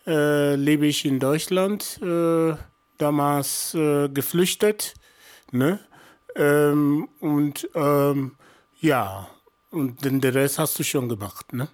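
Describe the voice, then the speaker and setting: Man in his fifties from Nigeria, podcast guest; slow, hesitant delivery. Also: a little high, a little mellow. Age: 50s. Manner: halting, many fillers and restarts. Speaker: guest, journalist and activist. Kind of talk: podcast interview answers.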